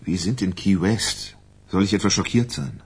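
An actor playing a blunt, wry, unruffled male writer in a naturalistic radio crime drama, with a husky voice. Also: on the low side, moderate pace. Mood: wry, defiant.

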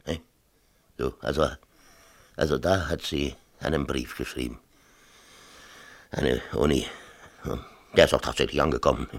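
An actor playing a defensive, self-pitying middle-aged small-town mayor and father in a radio play, talking in a halting, colloquial way and repeating himself. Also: extremely low pitch, fairly even intonation, creaky, heavily acted. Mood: self-justifying. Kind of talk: 1970s German radio play acting.

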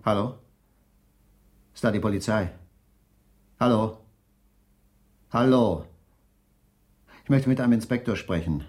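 An actor in a German radio drama playing a shattered, bitter husband with a terse, subdued delivery and weary resolve. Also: male voice, lowish voice, unhurried, pauses often, a little mellow, slight background noise, lightly acted. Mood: resigned, resolved.

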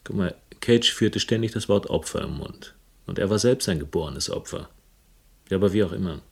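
A wry, defiant detective in a radio crime drama. His voice sits fairly low, and he talks in a dry, wordy, sardonic way, as if worn down by the world. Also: expressive, a little fast, vocal fry, slightly rough, exaggerated voice. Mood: coolly consoling. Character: wry, world-weary male detective narrator, cheeky and defiant. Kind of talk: German radio crime drama acting and first-person narration.

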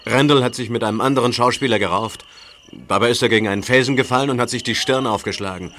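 A male actor playing a blunt, practical adult animal keeper with a brisk, plain-spoken manner in an audio drama. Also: mid-range voice, a bit bright, a little hiss or hum, close to an everyday voice. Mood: dismissive. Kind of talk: German audio drama dialogue.

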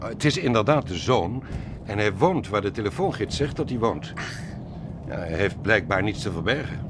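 A man's voice: expressive, moderate pace, close to an everyday voice. An actor playing a genial, worldly older man, chuckling and avuncular, in a radio drama. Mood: reflective, chatty. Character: genial, wealthy older widowed expatriate man, worldly and talkative. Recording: noisy outdoor setting with vehicle rumble.

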